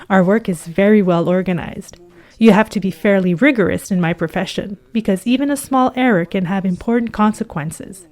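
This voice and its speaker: A woman from Ukraine speaks interview answers as a voice-over. She explains things in a steady, matter-of-fact way at a mid pitch. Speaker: harvest worker at the pressing center.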